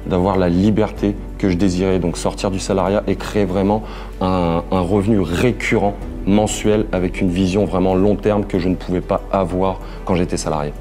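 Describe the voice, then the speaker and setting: A man's voice: low-pitched, fairly even intonation, moderate pace, dark tone, soft background music. A man in his thirties giving a promotional testimonial as an entrepreneur. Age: 30s.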